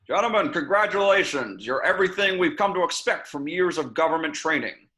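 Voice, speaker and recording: A man's voice: on the high side, expressive, brisk, sharp tone, poor audio. A man reading the part of a stern, dry, official agency chief in an amateur script read. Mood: official, brisk.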